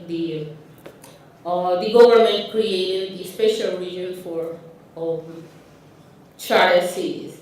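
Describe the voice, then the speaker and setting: A woman from Honduras with a Honduran Spanish accent giving a conference talk with slides, speaking haltingly with frequent restarts and fillers. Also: mid-range voice, a little mellow. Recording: roomy hall, speaker far from mic.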